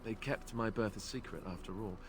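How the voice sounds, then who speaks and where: A man's voice: on the low side, a little fast, slight background noise, lightly acted. An actor playing an awkward, self-deprecating young male knight in a game, talking in a hesitant, rambling way. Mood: earnest. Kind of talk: game character dialogue.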